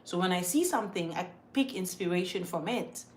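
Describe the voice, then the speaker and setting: A woman's voice: on the low side, expressive, a little fast, slight background noise. Chatty woman with a mixed Ghanaian accent. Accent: Ghanaian, mixed from moving around. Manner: chatty and emphatic, repeats words, thinks aloud. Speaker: livestream host. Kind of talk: casual live chat, reading viewer comments.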